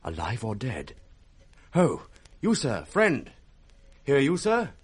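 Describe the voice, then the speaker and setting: Loyal young British nobleman, urgent, theatrical, highly animated pitch, mid-range voice, radio drama verse. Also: slow pace, slightly rough, full character voice. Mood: anxious concern. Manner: vivid, theatrical verse speaking. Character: disguised young nobleman, loyal, urgent, guiding a blind man. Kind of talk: 1960s BBC radio Shakespeare acting.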